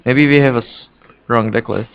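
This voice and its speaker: A man with a Czech accent casually commentating live on a card game, haltingly and often repeating his words. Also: medium pitch, steady tone, clean tone. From Czech Republic. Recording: dull, lo-fi stream audio.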